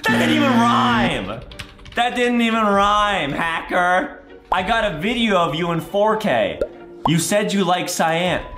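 Male gaming host, twenties, playful and over-dramatic, lively swings in pitch, recorded in a roomy space. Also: unhurried. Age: 20s. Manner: exaggerated, theatrical reactions. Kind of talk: playful video game commentary for children. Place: small indoor room. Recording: roomy sound with background music. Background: music.

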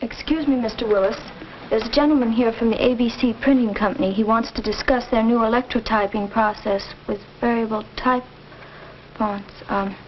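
Polite woman, played by an actress, articulate, slightly high voice, vintage instructional-film acting, dull audio. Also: fairly even intonation, moderate pace, close to an everyday voice. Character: polite, articulate 1940s woman, wife or secretary. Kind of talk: 1940s educational film acting. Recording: muffled, noisy 1940s film soundtrack.

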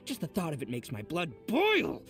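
An actor playing a brash, boisterous young oni gang boss in a video game, bragging theatrically in slang with excitable asides. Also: male voice, on the high side, normal speed, gravelly, soft background music, exaggerated voice. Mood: indignant. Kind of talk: expressive video game character voice lines.

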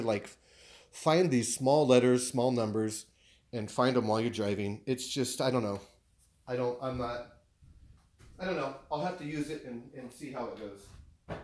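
A man with an American accent chatting casually and haltingly, thinking aloud as he shows off purchases in a living room. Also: medium pitch, darkish tone. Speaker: vlogger showing purchases. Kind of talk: casual unboxing chat.

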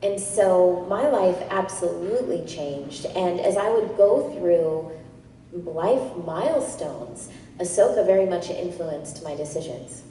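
A woman's voice: on the low side, expressive, slow pace, mellow, a little hiss or hum. Woman telling stories in an echoey hall. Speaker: voice actor on convention panel. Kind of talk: panel Q&A answer. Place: large convention hall stage. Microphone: handheld mic heard through hall speakers. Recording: echoey hall recorded from far off.

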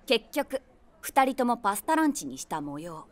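A woman's voice: fairly high pitch, very animated, moderate pace. An actress playing a wry woman of forty-six, a self-deprecating eavesdropper narrating an audio drama conversationally in the first person. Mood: amused commentary. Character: wry 46-year-old woman, eavesdropping daydreamer.

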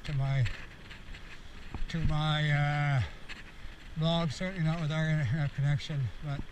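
A man's voice: on the high side, fairly even intonation, a little slow, low-quality recording. A man of about sixty giving a candid, rambling, reflective monologue as a vlogger, recorded outdoors on a bike ride with an action camera. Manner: candid, meandering, self-reflective. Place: outdoors, cycling on a rural road. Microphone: action camera on the bike or rider. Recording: outdoor wind and road noise.

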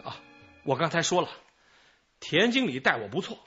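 Radio drama part: middle-aged male informant, high bright voice, wordy, evasive, self-excusing. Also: very animated, normal speed, slightly rough, highs cut off, soft background music, full character voice. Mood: sheepish, self-excusing. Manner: chatty, rambling, sighing, hedging.

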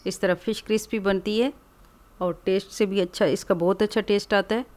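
A woman from Pakistan hosting a cooking channel, calmly explaining each step of a recipe in a voice-over. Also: mid-range voice, fairly quick. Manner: calm, instructive, explains each step plainly.

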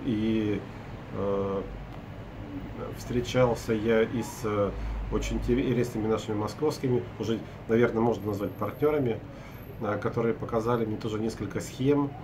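A man's voice: on the low side, steady tone, moderate pace, noisy background. A man in his forties from Russia, a property broker recapping his day to camera from a hotel room at night, talking hesitantly with many filler sounds and restarts.

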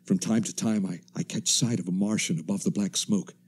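An actor playing a haunted, weary professor and lone survivor, in a hushed, halting radio monologue. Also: male voice, deep voice, steady tone, slightly rough. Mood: weary, watchful. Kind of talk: dramatic first-person radio monologue.

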